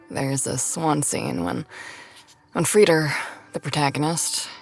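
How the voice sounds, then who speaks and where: An actress in an audio drama playing a self-conscious, foul-mouthed nerdy woman, talking in a halting, blunt, natural way. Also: low-pitched, gravelly. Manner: halting, blunt, casually profane.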